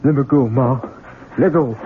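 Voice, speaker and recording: An actor playing a simple-minded, childlike grown son in an American radio drama, speaking in a halting, pleading rustic way. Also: male voice, medium pitch, a little hiss or hum, natural voice. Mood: quietly menacing. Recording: tinny band-limited old radio broadcast.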